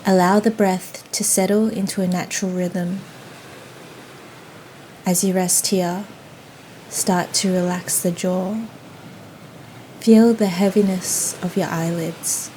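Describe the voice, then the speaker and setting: A woman leading a guided yoga nidra meditation in a soothing, gentle, unhurried manner. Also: lowish voice, rough recording. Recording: faint steady ocean noise behind. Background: ocean waves ambience.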